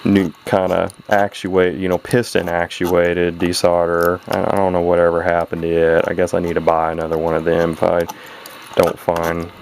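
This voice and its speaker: Very low-voiced gravelly man, casual and folksy. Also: completely flat delivery, moderate pace, a little mellow. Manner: rambling, folksy, self-correcting. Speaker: hobbyist radio repairer presenting his own video. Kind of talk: casual hands-on repair narration. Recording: noisy handheld camera home video.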